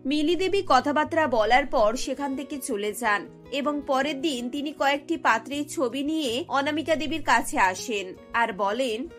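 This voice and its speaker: Female cartoon narrator, high-pitched, scripted storytelling delivery over soft music. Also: a little slow.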